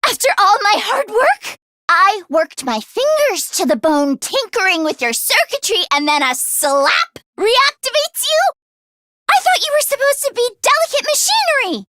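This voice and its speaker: An excitable teenage girl inventor from an anime-style video game. Her voice is very high and sharply bright, with a close, dry sound. She is earnest and expressive, easily flustered. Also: normal speed, no room sound, exaggerated voice. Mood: exasperated. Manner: expressive, swinging from deadpan grumbling to frantic outbursts.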